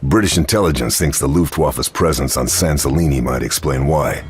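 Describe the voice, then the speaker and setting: An actor playing a grim, resolute World War Two sniper, narrating a video game cutscene in a terse, hard-boiled, gravelly voice. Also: male voice, normal speed, exaggerated voice. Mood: grim, determined. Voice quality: very deep, gravelly. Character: grim, resolute male WWII Allied sniper agent on a mission. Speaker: narrating protagonist.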